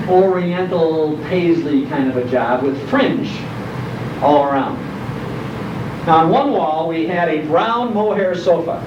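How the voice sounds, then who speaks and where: A distant, dull recording in an echoey room carries an older man with a Chicago accent and a fairly high voice. He reminisces in a folksy, descriptive way, leaning on emphatic pauses as he addresses his listeners. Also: noisy background. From Chicago area, United States. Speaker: guest speaker, nostalgia storyteller. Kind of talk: informal reminiscing talk to a live audience. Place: indoor program venue, recorded from a distance.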